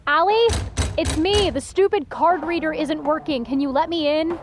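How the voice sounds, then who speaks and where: A woman's voice: sits high, moderate pace, noisy background, natural voice. An actress playing an upset, indignant young female fan in an audio drama, emphatic and sarcastic with her friend. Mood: impatient. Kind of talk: naturalistic audio drama acting.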